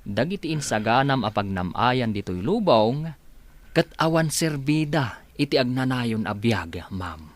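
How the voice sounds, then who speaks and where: A man's voice: mid-range voice, sing-song, measured pace. A man playing an earnest, respectful but moralising household servant in a radio drama. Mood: preachy. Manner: respectful yet preachy. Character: earnest, moralising male household servant addressing his mistress.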